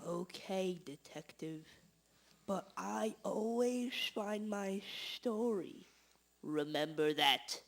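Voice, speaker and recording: An actor playing a pushy small-town newspaper reporter in an amateur radio drama, roughly recorded. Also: medium pitch, takes it slowly. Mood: defiant. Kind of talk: hobby radio drama acting.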